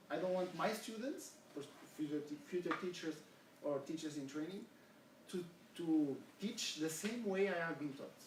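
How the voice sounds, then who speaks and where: A middle-aged man from Portugal with a Portuguese accent, giving an earnest academic seminar talk in English and asking the audience questions. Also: on the high side. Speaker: education researcher presenting. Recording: echoey room, from far off, faint hiss.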